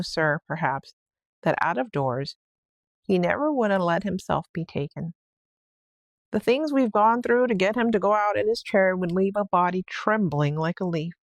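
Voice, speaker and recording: Give the storybook housekeeper as a woman reader acts her out a flustered, deferential manner. She explains things hesitantly and respectfully, puzzled and anxious. The voice has a creaky edge and sits close and dry. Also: lowish voice, dry recording. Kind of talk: storybook read-aloud character voice.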